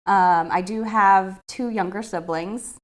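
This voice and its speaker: A woman's voice: mid-range voice, fairly even intonation, slow pace. A woman in her twenties, a guest talking casually about family and work with the odd "um". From United States. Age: 20s. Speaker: guest, restaurant CEO. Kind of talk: casual chat.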